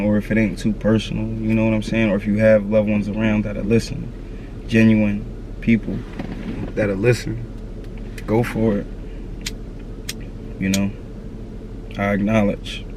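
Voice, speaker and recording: A man in his thirties speaks outdoors in a low register, his delivery level with little rise and fall. He talks earnestly and loosely in slang, circling back to the same advice. Faint traffic hums behind him. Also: flat delivery, normal speed, long pauses, a little mellow, poor audio. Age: 30s. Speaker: vlogger. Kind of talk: candid motivational talk to camera.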